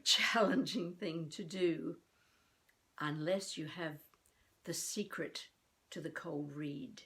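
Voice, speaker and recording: Older woman coach, slightly low deliberate voice. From Australia. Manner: deliberate, with spacious pauses between phrases. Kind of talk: improvised instructional talk to camera.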